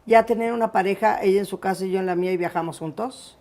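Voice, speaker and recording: A woman's voice: mid-range voice, fast, a little mellow. Mexican woman interviewer, informal and familiar. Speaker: interviewer, host. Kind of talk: casual chat interview.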